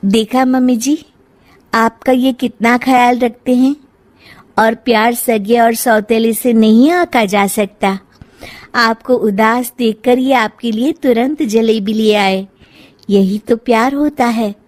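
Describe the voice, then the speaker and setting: Family tale role: chiding young wife, high-toned, measured, outspoken woman performing. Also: fairly even intonation, darkish tone. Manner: pointed, reproachful.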